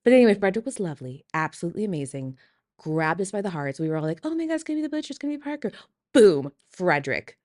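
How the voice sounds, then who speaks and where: Gushing, dramatic woman, slightly low voice. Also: big pitch swings, rapid-fire, darkish tone. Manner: dramatic, gushing fan enthusiasm. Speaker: listener sending a voice message. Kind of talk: casual spoken voice message.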